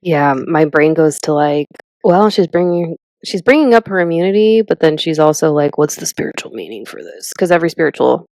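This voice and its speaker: A woman in her thirties co-hosting a podcast in casual chat, animated and chatty, with lots of "like" and "literally". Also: sits low, fairly quick, no echo. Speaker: podcast co-host.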